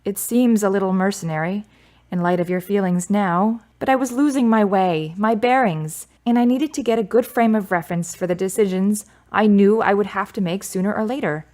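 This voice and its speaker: A woman's voice: mid-range voice, normal speed, darkish tone, close to an everyday voice. A woman playing a frank, self-assured character in a radio drama, speaking in an articulate, wry, wordy way. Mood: reflective, measured.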